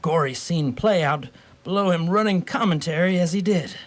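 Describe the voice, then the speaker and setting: A man narrating a scripted radio play as a theatrical, deliberate storyteller. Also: fairly high pitch.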